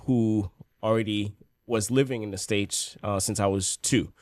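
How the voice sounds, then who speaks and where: A man's voice: fairly low pitch, fairly even intonation, measured pace, darkish tone, no room sound. Easygoing Haitian-born man telling stories. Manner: laid-back, joking, many fillers. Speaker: podcast guest. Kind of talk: casual storytelling.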